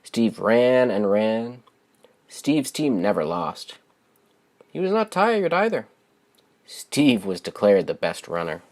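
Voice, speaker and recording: A man reading a children's story aloud as its author, with simple, deliberate phrasing and expressive stresses. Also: lowish voice.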